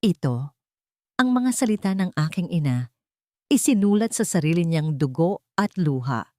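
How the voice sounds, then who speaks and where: A woman reading as a calm wealthy man. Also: on the low side, normal speed, dry recording, clean studio sound, natural voice. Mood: solemn. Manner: calm and clear public statement. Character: composed wealthy man addressing the press.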